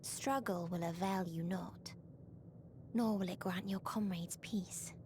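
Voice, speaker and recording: A woman playing a mournful, calmly merciless otherworldly being in a video game, speaking solemnly and breathily. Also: fairly low pitch, takes it slowly, a little hiss or hum. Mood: bleak resignation. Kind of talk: scripted video game voice acting.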